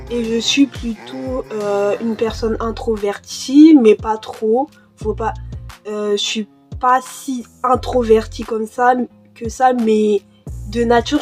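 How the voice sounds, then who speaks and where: A woman's voice: a little high, darkish tone. A young woman chatting casually in a home vlog, full of hesitations and fillers while she does her nails. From born in Cameroon, raised in France. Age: young adult. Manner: hesitant, many fillers and restarts. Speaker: YouTuber. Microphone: desk mic.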